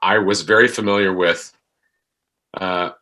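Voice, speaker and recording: A man speaking as an interview guest, reflective and halting as he searches for his words. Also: deep voice, steady tone, pushed and bright. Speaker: podcast guest, actor.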